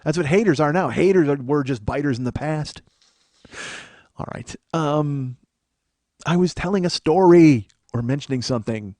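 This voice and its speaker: A man hosting a podcast, riffing in a profane, excitable comedic monologue into a studio microphone. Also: fairly high pitch, normal speed, dry recording. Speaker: podcast host.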